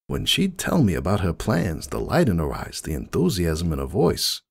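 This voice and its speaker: Man as jaded middle-aged pony shopkeeper, rueful, folksy storyteller, dramatic monologue, deepish pitch, animated intonation. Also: moderate pace, creaky, slightly rough, full character voice. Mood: sorrowful, rueful. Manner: folksy, rambling, confiding storytelling. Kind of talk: dramatic first-person monologue reading.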